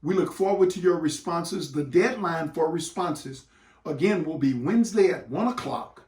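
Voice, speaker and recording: A man speaking as an educator to students, in a deliberate, emphatic way with dramatic pauses and repeated phrases. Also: a little high, darkish tone. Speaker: educator hosting a read-aloud for students and parents. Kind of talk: read-aloud lesson addressed to students. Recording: roomy echo, distant microphone.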